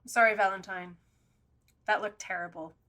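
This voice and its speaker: An actress playing a blunt, exasperated supernatural sidekick in an audio drama, scolding and sardonic. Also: female voice, medium pitch, steady tone, normal speed, pushed and bright, lightly acted.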